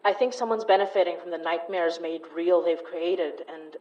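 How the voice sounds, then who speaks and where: A woman's voice: on the low side, normal speed, a little mellow, distant mic, highs cut off, natural voice. An actress playing Mari, a dry, matter-of-fact female investigator in an audio drama. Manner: dry, sardonic.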